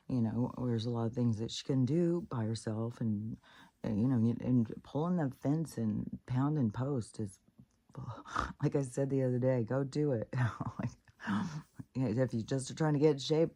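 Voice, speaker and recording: A middle-aged woman talks in a loose, meandering way, full of fillers, restarts and casual swearing. Her nose is stuffed up, and her voice has a mellow, relaxed tone. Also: a bass voice. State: congested, stuffed up. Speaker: spiritual vlogger. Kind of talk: rambling personal monologue.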